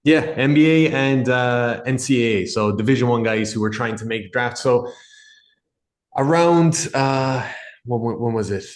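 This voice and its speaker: A man in his thirties speaks with a blended Irish and American accent, chatting in a relaxed, talkative way. His voice sits at an ordinary pitch and rings in a noticeably echoey room. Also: measured pace. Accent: Irish with some American. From Ireland. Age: 30s. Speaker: podcast guest, sports nutritionist. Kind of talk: casual podcast conversation.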